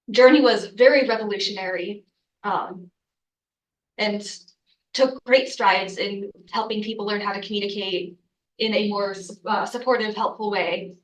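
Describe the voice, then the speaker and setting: A woman's voice: medium pitch, echoey room, far from the mic, poor audio. A young adult woman, congested from a cold, giving an earnest student research presentation with frequent ums and uhs. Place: lecture room.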